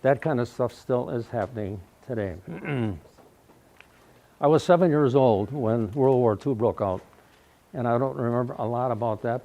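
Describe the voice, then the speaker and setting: A man around ninety with a mellow voice, telling his life story to a live audience in a folksy way. He speaks loudly because he has no microphone and often clears his throat. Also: mid-range voice, low-quality recording. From Wausau, Wisconsin, USA. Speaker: guest speaker. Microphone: no microphone, recorded in the room.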